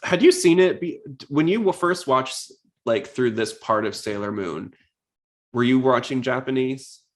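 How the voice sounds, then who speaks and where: A man's voice: medium pitch, expressive, a little slow. A man hosting a podcast, chatting casually and commenting on a show in a rambling, self-interrupting way. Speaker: podcast host. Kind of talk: casual chat, episode commentary.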